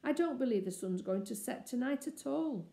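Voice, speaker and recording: A woman's voice: sits high, lively intonation, moderate pace, creaky, warm tone, roomy sound, pitched above the performer's own voice. A woman voicing a timid young girl in a storybook, childlike and pleading. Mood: anxious, pleading.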